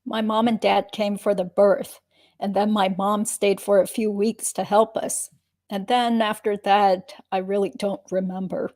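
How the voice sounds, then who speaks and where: A woman playing a guarded, matter-of-fact witness giving measured answers in a dramatic reading of a deposition. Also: medium pitch, level tone, darkish tone, lightly acted. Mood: guarded, terse.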